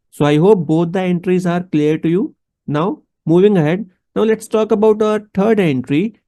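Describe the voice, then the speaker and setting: Male instructor, Indian accent, fairly high mellow voice, methodical explainer who poses questions to the learner. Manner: didactic, step-by-step, addressing the listener directly. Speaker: accounting instructor. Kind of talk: explanatory tutorial with rhetorical questions.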